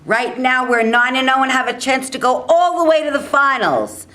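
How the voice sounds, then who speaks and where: A woman playing a stubborn, proud male college football coach in a staged reading, with an emphatic, deliberate delivery. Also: high-pitched, animated, pushed and bright. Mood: rousing.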